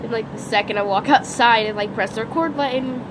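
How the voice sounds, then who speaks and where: A woman's voice: on the high side, fairly quick. A teenage girl with a stuffy nose and sore throat, rambling unscripted in a casual vlog, filled with "like", filmed outdoors on a phone. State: congested, sniffly, sore throat. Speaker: vlogger. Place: outdoors next to a lake, during a lightning storm. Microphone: phone camera. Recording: outdoor phone recording with background noise. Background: outdoor background noise, thunder.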